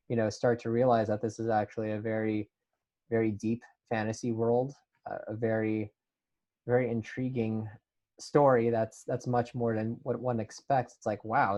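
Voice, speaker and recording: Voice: mid-range voice, mellow. An enthusiastic host reading out fan questions and chatting casually in a panel Q&A.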